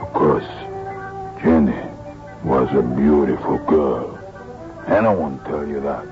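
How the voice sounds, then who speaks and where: An actor playing a chatty, cagey music hall boss in an old-time radio drama, talking in a halting way. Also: male voice, rumbling low, exaggerated voice. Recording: dull lo-fi old radio recording, music behind.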